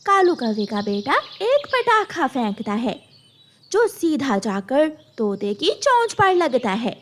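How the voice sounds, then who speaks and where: A woman's voice: sits high, sing-song, takes it slowly, slight background noise. A woman reading scripted narration for a children's cartoon story.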